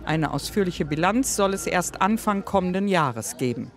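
A man reads a formal news report in an even, steady way. His voice sits noticeably high for a man. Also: moderate pace, slight background noise.

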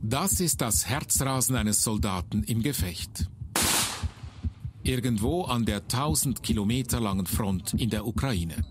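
A man's voice: mid-range voice, animated, measured pace, noisy background. A man narrating an audio report in a scripted documentary style.

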